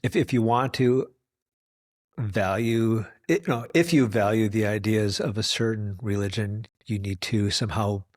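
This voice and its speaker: A man's voice: fairly low pitch, measured pace, darkish tone, no room sound. A man from the United States hosting an interview. He talks thoughtfully and muses at length with rambling asides. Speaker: host. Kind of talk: conversational interview. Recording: dry close recording.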